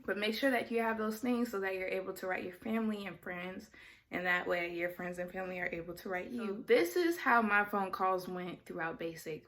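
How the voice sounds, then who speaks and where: A woman's voice: on the low side, fairly bright tone. A young adult woman vlogging casually, explaining things in a chatty way and correcting herself as she goes. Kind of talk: casual talking-head vlog.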